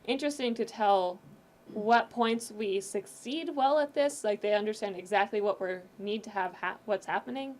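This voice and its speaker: A woman talks through her audio drama project on a relaxed podcast. She explains things step by step, sometimes stopping and restarting a sentence as she thinks. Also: mid-range voice, moderate pace. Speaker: audio drama creator, guest. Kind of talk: casual podcast conversation.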